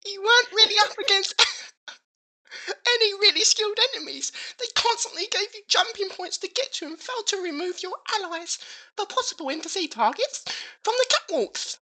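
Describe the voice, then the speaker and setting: A woman's voice: extremely high pitch, bright, forceful tone, rough recording. A woman co-host reading gamer forum posts aloud for comedy, with a mock-serious, exaggerated delivery.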